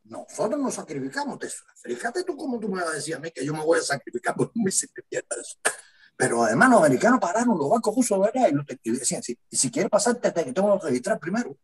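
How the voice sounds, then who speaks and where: An older man from Cuba with a Cuban Spanish accent, a guest analyst talking in an emphatic, lively way. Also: sits high, quick pace, low-quality recording. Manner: lively, emphatic, acts out quotes in varied voices. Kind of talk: animated interview talk.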